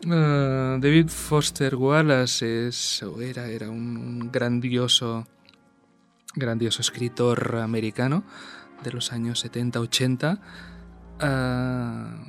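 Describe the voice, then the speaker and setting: A man with an accent from Spain discussing casually as a co-host, halting and rambling, often repeating words. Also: medium pitch, steady tone, soft background music. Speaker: show co-host and commentator.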